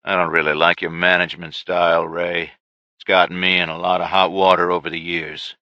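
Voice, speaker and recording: A man's voice: low-pitched, flat delivery, fairly quick, no echo, muffled recording, close to an everyday voice. A man doing a wry seasoned gunman.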